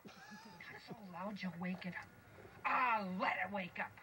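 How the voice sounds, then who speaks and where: High, squeaky little dwarfs from a storybook, stammering and shrill as they scramble about in a panic, all put on by a woman reading aloud. The voices jump high above her usual range. Background noise hums through the home recording. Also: very animated, unhurried. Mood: panicked.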